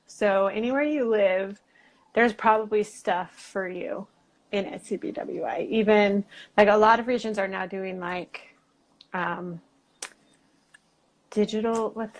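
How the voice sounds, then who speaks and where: An American woman in a casual chat, talking in a loose, rambling way and saying "like" often. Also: mid-range voice. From Utah, United States. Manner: chatty, rambling, uses "like" often. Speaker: guest, illustrator and writer.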